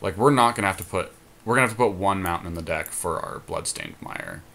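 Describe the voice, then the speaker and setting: A man talking casually through a card game, reasoning and counting aloud in a deliberate way. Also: sits low, vocal fry, fairly bright tone. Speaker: podcast co-host and card game player.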